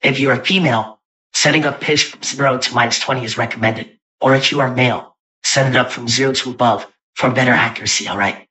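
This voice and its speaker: A forceful, bright-toned monkey warrior from an action game a man using an AI voice changer. The pitch sits in the middle. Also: steady tone, quick pace, distant mic, rough recording. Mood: friendly.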